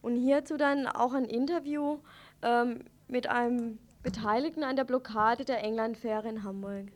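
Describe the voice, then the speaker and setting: Woman, fairly high voice, radio news co-host, informal presenting. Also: steady tone, moderate pace.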